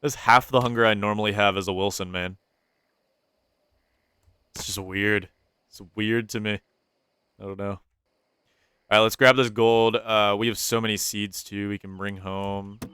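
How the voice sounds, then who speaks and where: A young man gives relaxed gameplay commentary in a slightly low voice that comes through stuffy and nasal. He rambles, wonders aloud and repeats his questions to himself. Despite the congestion his tone is smooth and clean, recorded close on a dry mic. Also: a little slow, pauses often, no echo. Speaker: gaming YouTuber.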